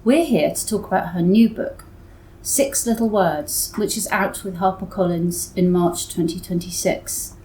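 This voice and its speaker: A woman's voice: fairly low pitch, measured pace, warm tone, rough recording. A woman hosting a literary podcast, introducing and questioning a guest. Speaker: podcast host. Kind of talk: scripted introduction and interview questions.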